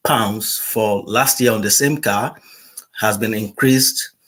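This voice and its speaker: A man hosts a conversational interview, speaking in a slightly low voice. He is chatty and laughs easily, often restarting his sentences as he goes. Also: slow, fairly bright tone, slightly rough. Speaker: host.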